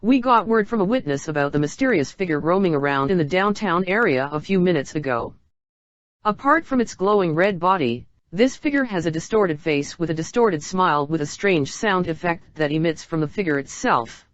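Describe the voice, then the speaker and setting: A woman's voice: on the low side, animated, normal speed, no room sound, lo-fi sound. A woman reading a scripted emergency broadcast announcement in a formal, instructional manner.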